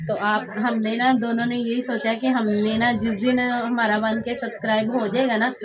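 A woman's voice: a little high, level tone, normal speed, crowd noise. Friendly woman in her 30s asking viewers to subscribe, phone a bit away, lots of people noise around. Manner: friendly, repeats appeals to subscribe. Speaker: vlogger. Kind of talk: casual chat to viewers. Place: room indoors, seated on floor mattress.

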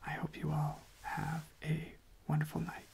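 A young man speaking softly in a gentle, unhurried, hesitant way as an ASMR host. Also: a little high, completely flat delivery, gravelly, breathy edge. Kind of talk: soft-spoken whispered ASMR.

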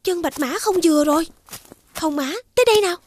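A woman playing a caring, sensible young elder sister, speaking politely and gently in a scripted children's fairy tale. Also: very high voice, fast talker, background noise. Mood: determined.

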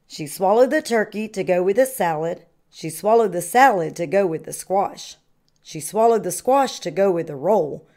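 A woman reading a children's picture book aloud in an expressive sing-song way, like a kindergarten teacher. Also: mid-range voice.

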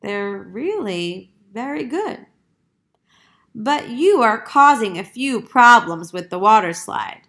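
Woman as a polite, bright-voiced storybook monkey. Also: on the high side, sing-song, slow, full character voice. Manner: courteous, earnest. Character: polite, helpful young monkey handyman.

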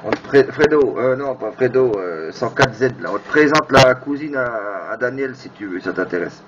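A man's voice: mid-range voice, animated, moderate pace, over a noisy crowd. A man bantering casually on amateur radio, teasing and crude. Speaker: amateur radio operator, video maker. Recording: muffled, very noisy FM radio chat.

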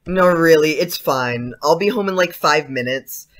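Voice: medium pitch, a little slow, a bit bright, close to an everyday voice. An actor playing a polite, skeptical, uneasy schoolboy in an audio play, speaking hesitantly. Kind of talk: audio drama acting.

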